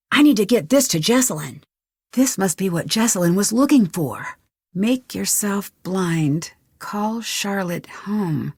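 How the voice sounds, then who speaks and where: A woman's voice: medium pitch, breathy edge, no room sound, clean studio sound, exaggerated voice. A young woman playing a curious, plucky amateur detective in an adventure video game, thinking aloud to herself. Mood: musing, curious, then slow, reading aloud. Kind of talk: scripted adventure game voice lines.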